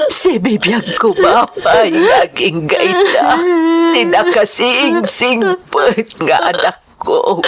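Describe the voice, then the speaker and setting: A woman's voice: fairly high pitch, husky, heavily acted. Actress as an anguished Ilocano mother, swooping and tearful. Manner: wailing, tearful, emotional. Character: anguished older mother grieving her child, reproachful. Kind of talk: melodramatic Ilocano radio-style drama acting.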